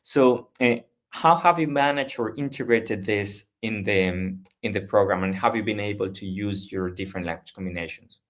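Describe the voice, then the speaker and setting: A man with a lower voice hosts the student panel and puts questions to the students. He stumbles and repeats words as he sets up each question. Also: normal speed, hardly any pauses, fairly bright tone. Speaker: interviewer and moderator.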